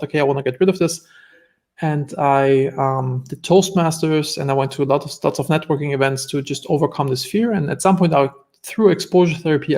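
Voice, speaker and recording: A man's voice: on the high side, vocal fry, a little mellow. A man with a German accent, a guest entrepreneur telling stories in an earnest, reflective way during a casual interview.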